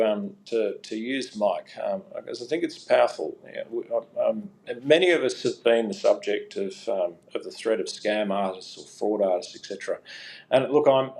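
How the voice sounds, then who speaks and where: Earnest Australian man with a gravelly voice. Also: on the low side, a little mellow, low-quality recording. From New South Wales, Australia. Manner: earnest, many fillers and restarts. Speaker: guest, regional banking alliance spokesman. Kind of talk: radio interview.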